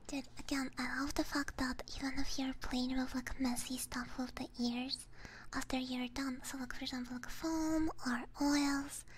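A woman hosting an ASMR livestream in a soft, breathy whisper, speaking gently and very close to a binaural microphone. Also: sits high, little pitch movement, moderate pace, a little hiss or hum. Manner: soft, gentle personal attention. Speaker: livestream host. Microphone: close binaural ear microphone.

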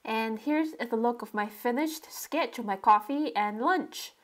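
A woman narrating a relaxed drawing tutorial step by step, describing what she does as she draws. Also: a little high, a little slow. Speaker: sketching tutorial host.

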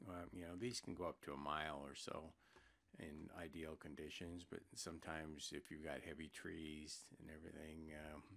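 An American man giving an informal product explainer as a presenter, plain-spoken and hesitant, pausing mid-sentence. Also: very deep voice, level tone, vocal fry, fairly bright tone. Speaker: product presenter for an intercom seller. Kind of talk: informal product explainer over screen recording.

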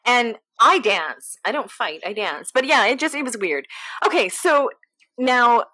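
A woman's voice: a little high, expressive, measured pace, pushed and bright. A young woman hosting a casual interview, upbeat and affirming, with lots of fillers. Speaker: host.

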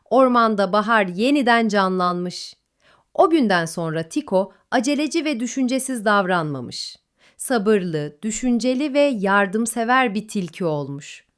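Gentle, melodic woman storyteller. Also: fairly high pitch. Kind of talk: bedtime story narration.

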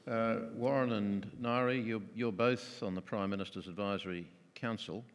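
A man moderating a conference panel, asking measured questions with some fillers. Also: on the low side, steady tone. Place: conference stage in a large hall. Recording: long hall reverb.

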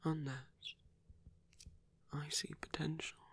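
Man acting a breathy, slow, seductive villain. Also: a little high, little pitch movement, a bit bright, exaggerated voice. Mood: playful, seductive. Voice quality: breathy, often dropping into whisper. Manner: slow, teasing, coaxing, with long pauses. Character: smooth seductive male villain tempting a captive hero. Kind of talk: ASMR roleplay voice acting.

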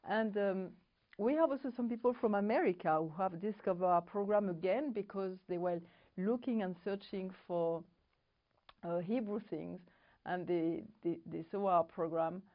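A middle-aged woman from France speaks English with a clear French accent, pausing to hunt for the right word. She presents to camera in a studio on a lapel mic, and the sound is a bit dull and lo-fi. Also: mid-range voice. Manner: halting, searching for English words. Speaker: co-host. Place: studio set with bookshelves.

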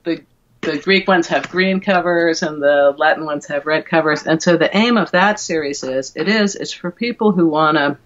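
A middle-aged woman explaining things thoughtfully and haltingly as an interview guest, over a video call. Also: sits low. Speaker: guest, verse translator and classicist. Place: indoor room at home, video call. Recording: dull, lo-fi video call audio.

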